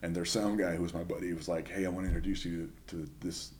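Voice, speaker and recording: A young man chatting casually as a podcast guest, telling stories in a relaxed, rambling way. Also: sits low, quick pace, slight background noise. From Northern California. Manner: chatty storyteller. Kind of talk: casual chat.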